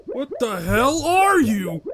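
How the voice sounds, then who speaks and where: A man's voice: extremely high pitch, big pitch swings, slow pace, a crowd in the background, exaggerated voice. A man playing a blunt, incredulous, nosy street guy in a comic-book audio drama, talking colloquially. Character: gruff, skeptical, nosy street guys needling a superhero.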